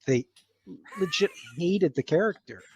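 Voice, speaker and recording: A man's voice: high voice, expressive, measured pace, darkish tone, slight background noise. A man in a casual podcast chat as an interview guest, talking in a chatty way, trailing off and rephrasing with frequent "like". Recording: slightly dull, dry close recording.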